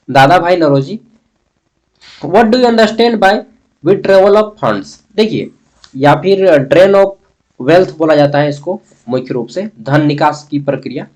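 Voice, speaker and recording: A man with a Jharkhand Hindi accent teaches in an informal, conversational way. His voice sits a little high. He repeats key terms and puts questions to himself, then answers them. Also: darkish tone. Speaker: history teacher. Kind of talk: informal exam-prep lesson.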